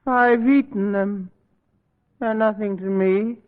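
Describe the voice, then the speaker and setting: Radio play madman: high, imploring, obsequious servant, florid theatrics, tinny old recording. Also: steady tone, slow, big-room sound. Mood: sly disdain.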